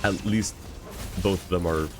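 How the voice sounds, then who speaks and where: A man's voice: deep voice, fairly even intonation, measured pace. A man livestreaming a game, giving casual commentary and thinking aloud in a hesitant way. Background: game music.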